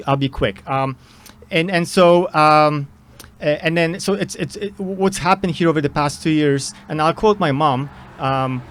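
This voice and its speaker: Hesitant Polish-accented man speaking outdoors. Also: fairly high pitch. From Poland. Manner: hesitant, many fillers and restarts. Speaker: political candidate. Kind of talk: unscripted debate introduction.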